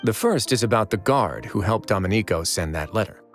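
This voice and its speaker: Man playing a low-voiced, self-assured prison warden. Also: lively intonation, a little fast, faint music behind, close to an everyday voice. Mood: matter-of-fact. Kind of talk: English video game voice acting.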